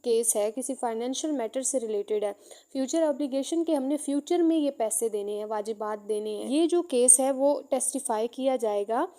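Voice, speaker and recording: A woman with a Pakistani accent giving an explanatory law lesson, mixing two languages and slowing down to read out points before explaining them. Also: on the high side, dark tone. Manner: code-switches between Urdu and English, slows down when reading out. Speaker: law lecturer.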